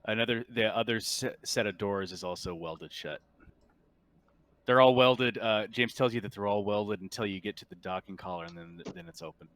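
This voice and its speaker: A man's voice: fairly low pitch, moderate pace, a bit bright, no echo. A man running a tabletop roleplaying game, casually narrating and answering as game master.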